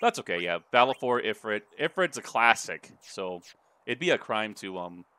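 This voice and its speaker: A man giving casual, crude and excitable commentary as he hosts a video game playthrough. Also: on the low side, moderate pace, clean tone. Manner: crude, sweary, excitable reactions. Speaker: let's play host. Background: video game audio.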